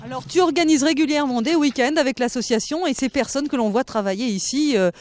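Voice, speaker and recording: A woman's voice: high voice, fast talker, darkish tone. A woman reporter interviewing people outdoors in a forest, chatty and hesitant with frequent fillers. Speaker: radio reporter.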